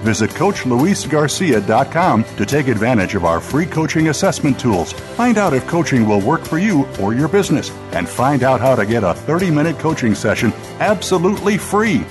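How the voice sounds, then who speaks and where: Lively male promo announcer over music. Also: mid-range voice, moderate pace, few pauses. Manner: upbeat, polished promo delivery. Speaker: radio announcer. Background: music bed.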